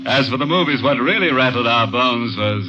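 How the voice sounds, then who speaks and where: An actor playing a macabre, mock-genial horror host in 1950s radio style, sardonic and theatrical, delighting in grisly wordplay. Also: male voice, mid-range voice, lively intonation, normal speed, lightly acted. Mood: ghoulish glee. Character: ghoulish, macabre male horror host, gleefully punning. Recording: dull old radio recording, loud music.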